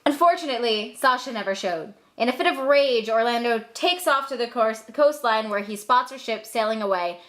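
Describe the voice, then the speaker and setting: A woman's voice: fairly high pitch, animated, normal speed, hardly any pauses, fairly bright tone. A woman giving comic scripted narration for a puppet show in an irreverent, sardonic manner.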